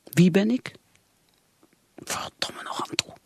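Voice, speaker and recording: An actress playing a bitter, driven middle-aged career woman who drinks, in a radio play, with a breathy, halting, brooding delivery. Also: darkish tone, whispered, close to an everyday voice. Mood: frustrated, craving drink.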